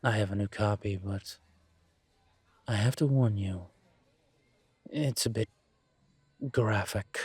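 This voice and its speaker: A man playing a calm, courteous incubus professor and investigator in an intimate audio roleplay, speaking considerately with careful pauses. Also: on the low side, breathy edge, no echo. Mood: cautioning. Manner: measured, considerate, hesitant pauses. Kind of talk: scripted audio roleplay, intimate one-sided conversation.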